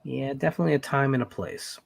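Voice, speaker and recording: Male host, congested from a cold, middle-pitched, rambling and full of restarts. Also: fast, gravelly, breathy edge. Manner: rambling, restarts sentences often. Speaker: podcast host. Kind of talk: casual live-stream chat.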